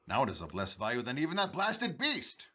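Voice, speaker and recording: An actor playing an irritable, imperious, proud sultan in a radio drama, with a pompous, blustering manner. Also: male voice, mid-range voice, wildly swooping pitch, a little fast, pushed and bright, breathy edge, exaggerated voice.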